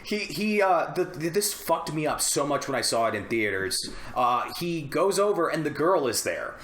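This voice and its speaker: A man's voice: fairly high pitch, big pitch swings, normal speed, noisy background. A man chatting casually on a podcast, joking and rambling with plenty of swearing. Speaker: podcast host discussing films. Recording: roomy, echoey room.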